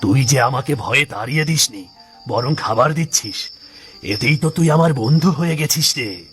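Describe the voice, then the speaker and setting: A man's voice: medium pitch, animated, moderate pace, fairly bright tone, faint music behind, close to an everyday voice. A man voicing a kindly ancient giant demon in a cartoon ghost story, speaking solemnly and reassuringly, with lofty promises.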